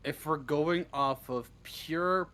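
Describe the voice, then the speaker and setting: A man chatting casually as an interview guest, halting and self-deprecating, with casual swearing. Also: fairly high pitch, sharp tone, a little hiss or hum. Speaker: interview guest, script writer. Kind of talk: casual chat.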